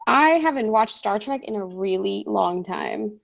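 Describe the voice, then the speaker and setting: A woman hosting a casual stream chat, losing her voice, chatty and quick to laugh. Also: mid-range voice, expressive, creaky. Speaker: host. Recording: dull lo-fi stream audio.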